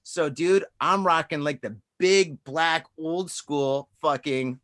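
A man's voice: fairly high pitch, slow pace, fairly bright tone. A man in his forties telling a rambling, profane story in casual podcast chat, peppering it with "dude" and "man". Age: 40s. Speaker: podcast co-host.

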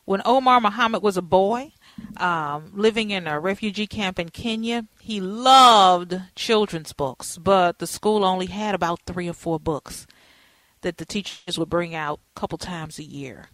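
A woman's voice: lowish voice, measured pace, a bit bright, no room sound, poor audio. A woman hosting a radio show, introducing and interviewing a guest in a conversational way with frequent fillers.

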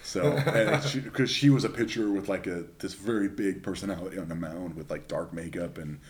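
A young man chatting casually as a podcast guest, telling stories in a relaxed, rambling way. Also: deep voice, a little fast. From Northern California. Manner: chatty storyteller. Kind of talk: casual chat.

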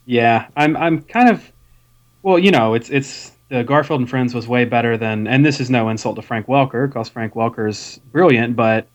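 A man in his thirties co-hosting a podcast, chatting casually and agreeing readily. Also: mid-range voice. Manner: agreeable, quick to laugh along. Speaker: podcast co-host.

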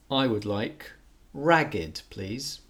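A man's voice: mid-range voice, lively intonation, drawn-out words, fairly bright tone. A man co-hosting a podcast in casual chat, speaking hesitantly with wry asides. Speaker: podcast co-host and film reviewer.

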